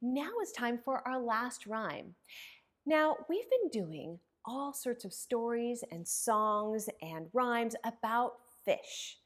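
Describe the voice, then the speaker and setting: Slow, high-pitched woman leading children's story time. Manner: expressive sing-song, asks questions and pauses for young viewers. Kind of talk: interactive story time for young children, with rhymes and songs.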